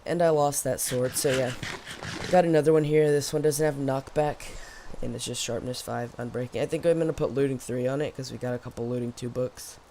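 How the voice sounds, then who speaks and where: Guy doing a casual lets play, bit hoarse and croaky, rambles along, slight background noise. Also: medium pitch, steady tone, fairly quick, dark tone. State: slightly hoarse after losing voice from yelling. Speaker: host of a gaming video. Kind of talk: casual let's play commentary.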